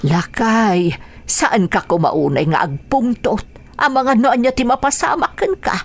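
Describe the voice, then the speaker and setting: A woman's voice: on the high side, lively intonation, unhurried, creaky, full character voice. A woman with a Filipino accent playing a worried, peacemaking mother and wife in a melodramatic radio drama, pleading and soothing.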